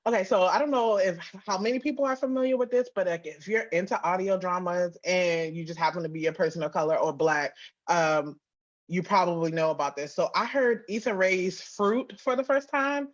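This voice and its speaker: A woman's voice: on the low side, fairly quick. Chatty woman, slightly dull audio. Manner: animated, informal, frequent "like". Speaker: guest, audio drama writer and producer. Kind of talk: casual interview chat.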